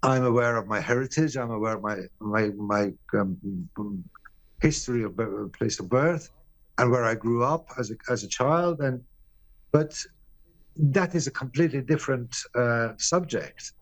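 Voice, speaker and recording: A middle-aged man from Iran, a painter being interviewed, talking candidly and bluntly, often pausing and restarting his sentences. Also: mid-range voice, no echo, low-quality recording. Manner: candid, blunt, swears casually. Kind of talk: reflective interview answers.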